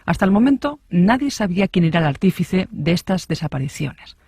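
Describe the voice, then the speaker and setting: Male true-crime presenter, raspy higher register, scripted delivery, close dry sound. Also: sing-song, brisk, a little mellow, no room sound.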